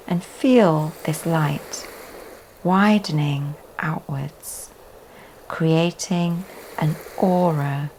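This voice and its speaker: A woman teaching yoga leads a guided relaxation meditation in a soft, often breathy delivery with long pauses. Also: sits low, rough recording. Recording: faint background noise.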